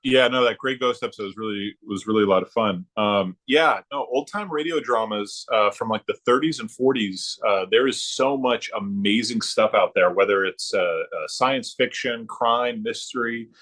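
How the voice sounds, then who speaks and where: A middle-aged man chatting casually about his hobby as a podcast guest, haltingly, often circling back and repeating himself. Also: fairly low pitch, fairly bright tone. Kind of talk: casual chat about comic books.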